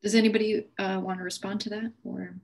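A young adult woman moderating a panel on a video call, relaxed and using fillers. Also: medium pitch, fast talker, gravelly. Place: home office. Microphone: earbuds on a video call.

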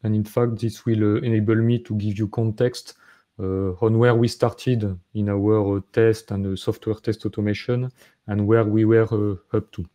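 Man, French accent, thirties, low mellow voice, halting with fillers, webcam talk from home. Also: level tone. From France. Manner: halting, many fillers and restarts. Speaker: conference speaker. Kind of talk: recorded conference talk. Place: home room, indoors.

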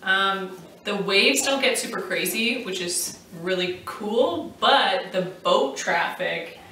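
A woman in her thirties, co-hosting a sailing vlog at home, chatty and quick to laugh. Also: medium pitch, bright, forceful tone, slight background noise. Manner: chatty, laughs easily, playful. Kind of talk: casual chat. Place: living room at home, indoors. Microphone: far camera. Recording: echoey room, mic at a distance.

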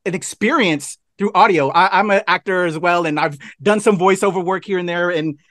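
A man, hosting a casual interview in an expressive way. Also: high-pitched, fairly quick, no room sound, studio quality. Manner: expressive, gesturing. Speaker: interviewer, host. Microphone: video call.

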